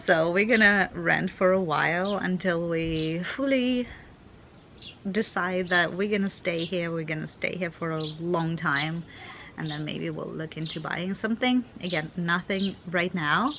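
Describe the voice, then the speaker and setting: A woman's voice: lowish voice, a little slow, noisy background. A young woman from Ukraine chatting casually to camera in a vlog, thinking aloud with fillers and restarts. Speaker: vlogger.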